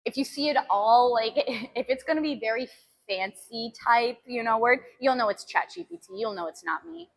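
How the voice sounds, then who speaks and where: A woman chatting casually with live viewers as a fitness stream host, giggly and animated as she talks between sets. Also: fairly high pitch, moderate pace, low-quality recording. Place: gym, during a workout.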